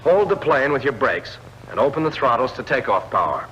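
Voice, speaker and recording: A man narrates an old military training film in a bright, forceful voice of medium pitch. He gives clear, direct instructions in a scripted 1940s instructional style. The sound is a dull, lo-fi vintage film soundtrack. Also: sing-song, brisk, a little hiss or hum.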